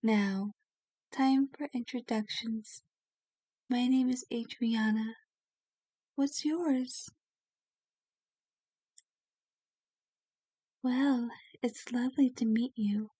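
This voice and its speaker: A woman playing a kind, genteel vampire in an ASMR roleplay, speaking gently and soothingly with formal wording and a soft, sometimes breathy voice. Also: fairly high pitch, fairly even intonation, slow, dry recording. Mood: gentle, welcoming.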